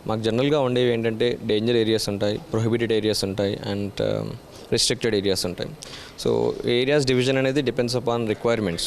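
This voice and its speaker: A man's voice: mid-range voice, steady tone, brisk. A man with an Indian accent giving explanatory interview answers as an air traffic official, often switching into English phrases.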